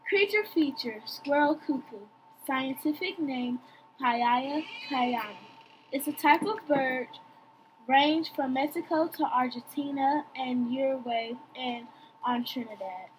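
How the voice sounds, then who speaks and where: Woman, high voice, nature fact read-aloud, background noise. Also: slow, low-quality recording. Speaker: reader of animal fact segment.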